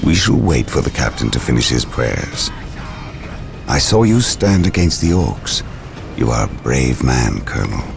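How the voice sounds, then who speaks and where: A man playing a grim, blunt psychic warrior in a video game cutscene, speaking formally in an imperious, gravelly voice. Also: very deep voice, very animated, unhurried, over music, heavily acted.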